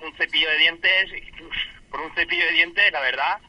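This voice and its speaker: Hesitant man with a very sharp, bright voice. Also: on the high side, normal speed, dry recording, rough recording. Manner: hesitant, stammering, repeats himself. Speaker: prank call victim, hotel guest. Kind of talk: spontaneous phone conversation. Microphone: telephone.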